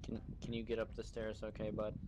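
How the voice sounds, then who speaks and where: A man's voice: fairly low pitch, completely flat delivery, fairly quick. A man chatting casually in an online roleplay game, with hesitant, laid-back phrasing. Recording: noisy game voice chat.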